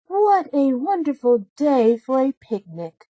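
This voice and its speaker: A woman voicing a sweet, cheerful, dutiful young heroine in a storybook, gentle and wishful in manner. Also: sits high, very animated, takes it slowly, no room sound, highs cut off, pitched above the performer's own voice, exaggerated voice. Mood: happy hopeful. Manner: gentle, bright-hearted, wishful.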